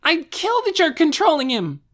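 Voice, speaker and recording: A man voicing an excitable, fiercely loyal young girl guardian in an audiobook, eager and blurting. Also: extremely high pitch, normal speed, pitched above the performer's own voice, full character voice. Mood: outraged.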